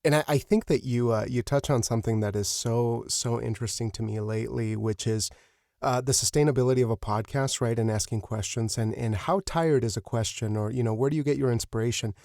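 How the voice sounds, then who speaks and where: A man's voice: mid-range voice, brisk, vocal fry, a little mellow, no room sound. A man with a mid-range voice hosts a casual interview. He searches for his wording, circling and repeating as he builds a question. The recording is dry and free of room sound. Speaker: podcast host, interviewer.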